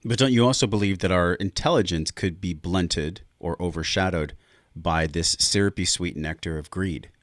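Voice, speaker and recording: Podcast host: man, deep-pitched voice, conversational interviewer. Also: moderate pace.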